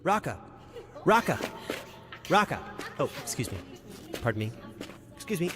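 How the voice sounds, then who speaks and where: A man's voice: on the high side, sing-song, normal speed. A man playing an eager, insistent colleague in an audio drama. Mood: urgent, calling out. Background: music.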